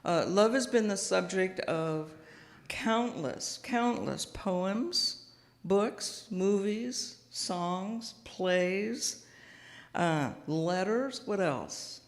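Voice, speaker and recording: A soft-spoken woman minister giving a gentle, thoughtful sermon, pausing often with small fillers, speaking into a microphone in a church sanctuary. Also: medium pitch. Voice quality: quiet voice. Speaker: guest minister. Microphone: house sound system microphone. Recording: long hall reverb.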